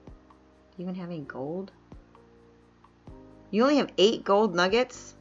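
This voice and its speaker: A woman's voice: medium pitch, animated, normal speed. Chatty woman streamer, lo-fi mic. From upstate New York. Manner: chatty, laughs often, reads chat aloud. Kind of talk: casual live-stream chat while playing. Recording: dull lo-fi mic with faint music.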